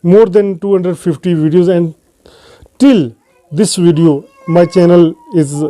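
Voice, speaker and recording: A man with a Pakistani accent hosting an English-learning channel, talking casually to camera and pausing often on "uh" fillers. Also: sits high, fairly even intonation, dark tone. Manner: hesitant, frequent "uh" fillers between phrases. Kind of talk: casual unscripted talk to camera.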